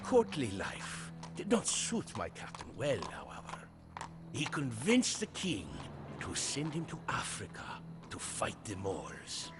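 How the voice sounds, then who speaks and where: A loyal old-world soldier telling his captain's story for a game cutscene. His delivery is solemn and theatrical, with dramatic swells and drops in a mid-pitched male voice. Loud music plays underneath. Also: huge pitch swings, takes it slowly. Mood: reflective, solemn.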